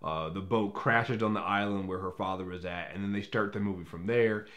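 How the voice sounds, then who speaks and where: A man's voice: deep voice, a little fast, fairly bright tone. A man speaking off the cuff as a film reviewer, opinionated and emphatic, with casual swearing. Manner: profane. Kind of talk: casual unscripted review commentary.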